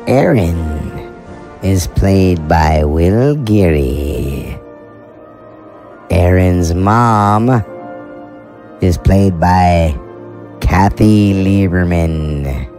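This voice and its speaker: Very slow, low-voiced male announcer. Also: animated, warm tone. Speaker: announcer reading cast and credits. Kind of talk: scripted outro announcement. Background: music.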